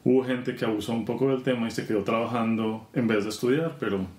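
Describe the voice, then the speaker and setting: A Colombian man guest talking casually about his work in software testing, relaxed with many fillers. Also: mid-range voice, fast. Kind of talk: casual interview. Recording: roomy conference venue.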